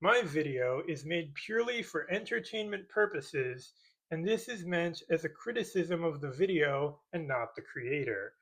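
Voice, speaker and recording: A man's voice: fairly high pitch, fairly bright tone, slightly rough, rough recording. A man delivering a scripted comedic rebuttal in a sarcastic, mock-serious way, as a YouTube commentator. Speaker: YouTube commentator parodying movie critique videos.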